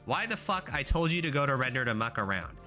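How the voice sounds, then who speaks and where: A young man live-streaming while he codes, talking loosely to his chat and swearing freely. His voice is bright and lively, jumping up high when he gets excited. Faint music plays underneath. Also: fast talker.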